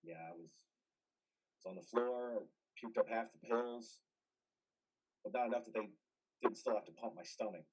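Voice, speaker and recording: An actor playing a traumatized, bitter and weary combat veteran in a modern stage play reading, with a husky voice. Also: male voice, fairly low pitch, normal speed, pauses often, darkish tone, low-quality recording. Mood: ashamed, low.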